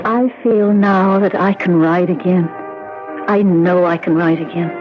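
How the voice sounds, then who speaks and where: An actress as a fragile, wistful bride, thin radio sound. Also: female voice, fairly low pitch, steady tone, a little slow, close to an everyday voice. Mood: dreamy. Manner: wistful, earnest, romantic. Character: fragile, anxious, dreamy young bride. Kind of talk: 1970s radio drama acting. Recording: thin phone-like old radio, music behind.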